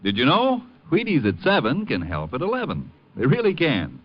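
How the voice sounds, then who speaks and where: American guy doing old radio ad, super animated n chummy, dull recording. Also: mid-range voice, normal speed, loud music behind the voice. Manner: emphatic, chummy, repetitive. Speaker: commercial announcer. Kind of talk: folksy 1950s radio ad pitch.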